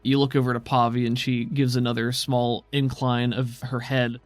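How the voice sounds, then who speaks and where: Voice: medium pitch, level tone, normal speed, no echo. Game master in a dry, close recording. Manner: casual, conversational. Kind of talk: narrating and refereeing a tabletop role-playing game.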